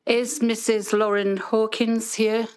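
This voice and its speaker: A woman chairing a council committee, polite but brisk in moving speakers on. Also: a little high, flat delivery, a little mellow, clean tone.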